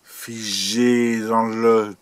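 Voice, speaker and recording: Very slow, solemn man reciting poetry. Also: lowish voice, little pitch movement, clear, resonant tone. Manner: solemn, declamatory, long pauses between short phrases. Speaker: poet reading own poem. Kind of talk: dramatic poetry recitation over music. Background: music.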